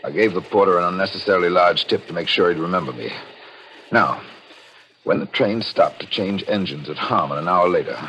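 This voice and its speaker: A man's voice: deep voice, a bit bright, dull audio, slight background noise. An actor playing a bitter, scheming husband who narrates his revenge in brooding, dramatic 1940s radio thriller style. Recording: old radio recording with music behind.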